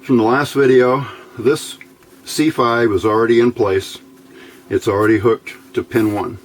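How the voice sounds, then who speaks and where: A man giving an unscripted hands-on technical tutorial in a matter-of-fact, halting, step-by-step way. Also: mid-range voice, fairly even intonation, poor audio. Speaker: host of an electronics build tutorial. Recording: clear background noise, room ambience.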